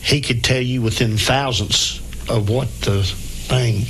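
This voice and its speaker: A man's voice: medium pitch, steady tone, slow, fairly bright tone, a little hiss or hum, poor audio. A man hosting a local radio talk show. He speaks in a folksy, rambling way with homespun grammar. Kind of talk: casual chat interview.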